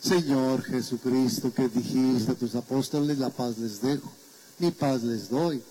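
Slow, solemn male priest. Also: mid-range voice, dark tone, a little hiss or hum, low-quality recording. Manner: slow, measured, solemn recitation. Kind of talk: liturgical prayer at Mass.

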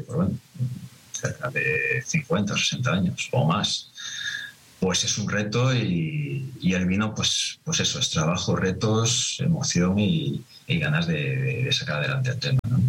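Middle-aged man, Madrid Spanish accent, very low voice, reflective, hesitant, restarts often. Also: fairly bright tone, poor audio. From Madrid, Spain. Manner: reflective, hesitant, many restarts and tag questions. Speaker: winemaker. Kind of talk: relaxed interview answers.

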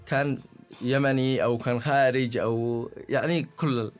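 Husky Yemeni man, halting interview guest. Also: medium pitch, steady tone, faint music behind. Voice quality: husky, hoarse-tinged voice. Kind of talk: informal interview answers.

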